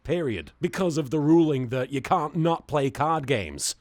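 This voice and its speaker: A man's voice: a little high, animated, a little slow, dry recording, clean studio sound. A man hosting a comedic pack-opening series, talking casually to viewers in a wry, mock-indignant way with dramatic emphasis.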